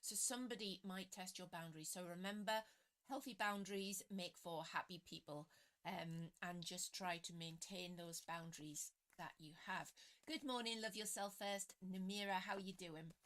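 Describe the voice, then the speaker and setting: A woman with a slightly croaky voice, reading tarot cards for a live audience in a chatty, self-deprecating way. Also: fairly low pitch, normal speed, fairly bright tone. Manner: chatty, self-deprecating, greets viewers by name. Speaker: tarot reader hosting a live stream. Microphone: computer webcam setup.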